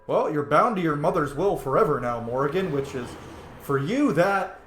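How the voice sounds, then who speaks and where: Animated man commentating on a game, roomy sound. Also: a little high, moderate pace, a little hiss or hum. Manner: excitable, informal, swears freely. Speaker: let's play streamer. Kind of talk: casual gameplay commentary. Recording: roomy echo.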